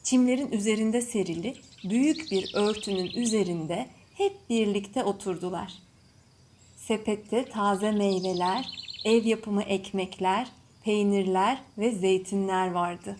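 A woman storyteller reading a scripted children's bedtime story in measured phrases. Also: medium pitch, darkish tone. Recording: faint background noise with birdsong. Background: birds chirping.

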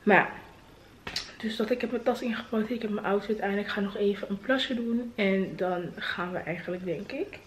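A woman's voice: medium pitch, normal speed, reverberant. Young woman vlogging, noisy recording. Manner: informal, mixing in English slang. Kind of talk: casual vlog chat to camera. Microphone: camera at arm's length.

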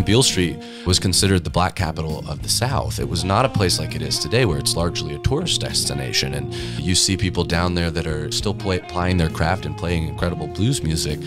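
Guy with a really deep voice telling history stories in an interview, music under it. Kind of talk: casual storytelling interview.

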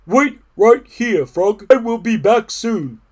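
A storybook reader voicing an excitable, earnest male toad who talks in loud, emphatic exclamations. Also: medium pitch, measured pace, voice pushed up, close to an everyday voice. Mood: eager.

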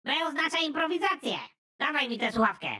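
A woman's voice: animated, fast talker, pushed and bright. A woman voicing a dim-witted, panicky young male kidnapper who talks brashly and crudely. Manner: brash and crude, with swings in pitch.

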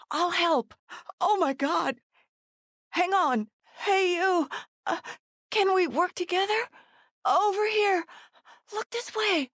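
An actress playing a frightened young woman on the run in a horror video game, breathless and gasping, with halting pleas. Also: high voice, gravelly, no room sound, clean studio sound, full character voice. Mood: anxious. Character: frightened young woman hiding and fleeing from killers.

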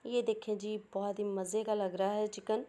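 A woman's voice: mid-range voice, flat delivery, normal speed, mellow. A woman with a Pakistani Urdu accent, hosting a cooking channel and patiently explaining each step of a home recipe.

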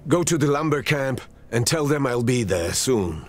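A man playing a stern, cautious middle-aged father in a video game, gravelly and husky, grave and commanding. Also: mid-range voice, expressive, moderate pace, natural voice. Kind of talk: cinematic video game acting.

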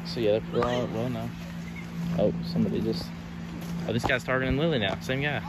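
A man's voice: mid-range voice, fairly quick. Man, casual game commentary, phone mic, heavy outdoor noise. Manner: chatty, excited running commentary with laughs. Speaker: vlogger filming a camp game. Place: open grassy field outdoors. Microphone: phone camera held by the filmer.

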